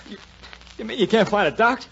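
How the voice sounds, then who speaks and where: A man's voice: high-pitched, quick pace, fairly bright tone, slightly rough, exaggerated voice. An actor playing an anxious young expectant father, flustered and stammering, in a 1940s radio drama. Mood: distraught. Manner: stammering, repeating words. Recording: dull, noisy 1940s radio recording.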